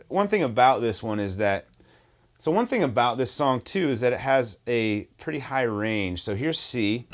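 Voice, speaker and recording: A man with a baritone voice teaching a worship song on guitar, talking in a relaxed, self-deprecating way full of ums and uhs. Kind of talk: casual guitar tutorial talk. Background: acoustic guitar playing.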